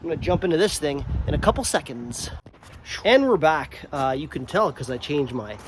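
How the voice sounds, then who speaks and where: A man's voice: a little high, very animated, moderate pace, a little hiss or hum. A man with a slightly nasal voice chatting offhandedly as he works in his home workshop, thinking aloud with plenty of uhs. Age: young adult to middle-aged, father of a daughter. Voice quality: slightly nasal from a sinus infection. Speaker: workshop channel host. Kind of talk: casual repair vlog narration. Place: home workshop or yard beside a mower.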